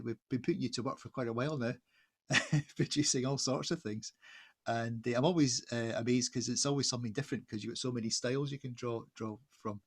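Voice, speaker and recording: A man hosting a casual interview, chatty and halting, often restarting his questions. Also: medium pitch, slightly rough. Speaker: host, magazine editor interviewing an artist. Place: online video call.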